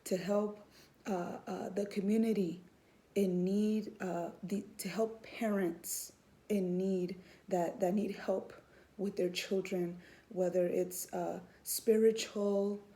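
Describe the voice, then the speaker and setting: A woman's voice: mid-range voice, steady tone, slow pace, dark tone. A woman in her thirties from Houston, Texas, giving an earnest personal faith testimony, hesitant with many ums. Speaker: student and mother.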